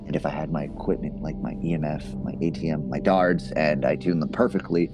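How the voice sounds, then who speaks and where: An actor playing a defensive, awkward man in his thirties obsessed with ghosts, speaking haltingly in a naturalistic audio drama style. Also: very deep voice, fairly even intonation, music in the background. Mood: earnest, explanatory. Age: 30s. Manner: hesitant, halting, self-correcting.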